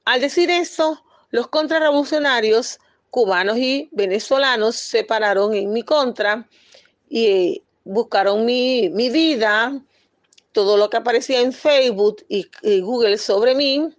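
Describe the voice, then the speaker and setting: A woman's voice: fairly high pitch, unhurried, no echo, lo-fi sound. A woman from Panama, speaking as an activist in a firm, insistent press statement with occasional hesitations.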